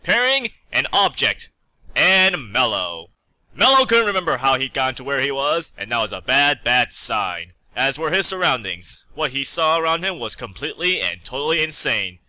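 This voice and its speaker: A man's voice: mid-range voice, sing-song, moderate pace, pushed and bright, no echo. A man reading fan fiction aloud as a storyteller, with dramatic narration.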